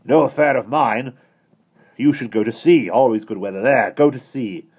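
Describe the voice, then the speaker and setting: A man's voice: mid-range voice, normal speed, husky, dull audio, pitched above the performer's own voice. A man voicing a blustering old admiral who roars his lines. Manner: roaring, blustery.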